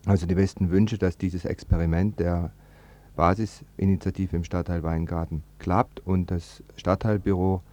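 A man's voice: deep voice, flat delivery, moderate pace, dark tone. A man presenting on radio with spontaneous commentary, often rephrasing as he goes. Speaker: radio host.

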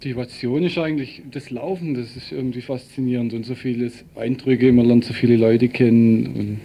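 A man with a mellow, darkish voice of average pitch gives informal interview answers. He speaks haltingly, as an activist searching for the right words. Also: fairly even intonation, creaky, slightly rough. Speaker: peace march participant being interviewed.

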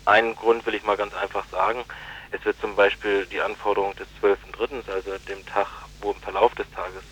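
A low-pitched man speaks as an interviewee on radio. He explains matters in formal, legalistic language, pausing and hesitating as he builds his sentences. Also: flat delivery, fairly bright tone, slightly rough, poor audio. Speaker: radio station managing director, interviewee.

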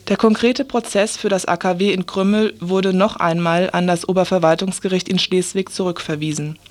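A woman's voice: fairly low pitch, fairly even intonation, moderate pace, dry recording. Woman reading radio news, dry sound.

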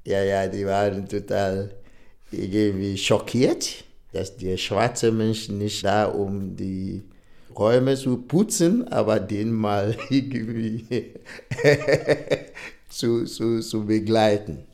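Slow, gravelly man. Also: on the low side, a little mellow. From Nigeria. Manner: hesitant, searching for words, with fillers and repeats. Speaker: interviewee, association chairman. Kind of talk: interview recounting experiences.

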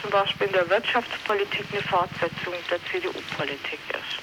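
A man being interviewed about politics on the radio, explaining at length in deliberate, halting phrases. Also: sits high. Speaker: interviewed political party member. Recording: clearly noisy 1980s radio recording.

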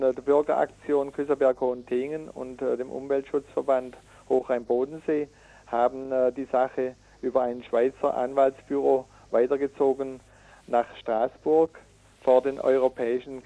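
Mellow-voiced man, halting and indignant. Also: mid-range voice, highs cut off. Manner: halting, with many hesitations and self-corrections. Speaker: activist interviewee from a citizens' environmental initiative. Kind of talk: radio interview, reading out figures and arguing.

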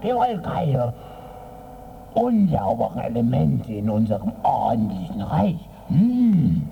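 A man playing a haughty, scolding official of the land of the dead in a theatrical radio sketch, with a creaky, condescending delivery. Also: mid-range voice, wildly swooping pitch, slow pace, full character voice. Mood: condescending, officious. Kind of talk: acted comic-book dialogue in a radio review. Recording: noisy, tinny, phone-like radio sketch.

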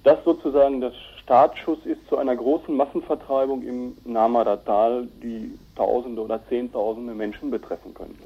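A man giving a telephone interview, explaining as a spokesman in emphatic, halting phrases. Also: mid-range voice, fairly even intonation, breathy edge. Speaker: human rights organisation director being interviewed. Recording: dull, band-limited telephone line.

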